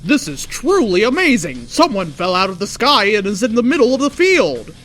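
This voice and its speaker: A woman voicing an excited, proclaiming sports announcer at a stadium game in a fan fiction reading. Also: medium pitch, moderate pace, pitched above the performer's own voice. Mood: amazed, excited. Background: faint music.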